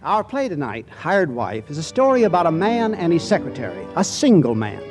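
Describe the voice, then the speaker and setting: A man's voice: on the high side, big pitch swings, a little fast, loud music behind the voice, over a noisy crowd. A man hosting a vintage 1940s radio broadcast, reading a droll, theatrical scripted introduction. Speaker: host and narrator.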